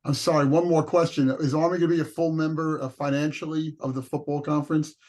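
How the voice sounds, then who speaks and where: A man's voice: on the high side, flat delivery, fast, a little mellow. A male reporter asking direct follow-up questions on a press call.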